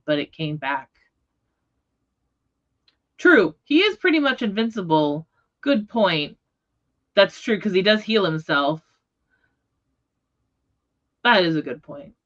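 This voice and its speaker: A woman's voice: on the low side, very animated, unhurried, long pauses, fairly bright tone, muffled recording. A woman hosting a casual book discussion, talking informally and often correcting herself mid-thought. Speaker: book readalong livestream host. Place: online livestream.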